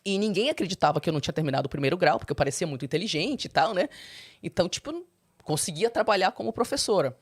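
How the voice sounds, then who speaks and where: A young adult woman, a guest in an interview, candidly telling her personal story and breaking into high, animated quotes. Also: very fast, husky. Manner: expressive, swings into high animated quoting.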